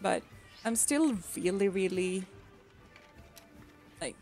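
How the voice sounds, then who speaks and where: A woman's voice: mid-range voice, lively intonation, slow pace, a little mellow, faint music behind. A young woman from Sweden with a light Swedish accent, chatting casually with her chat while she plays a game, laughing often and restarting her words. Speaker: streamer and teacher. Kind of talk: casual gaming stream chat.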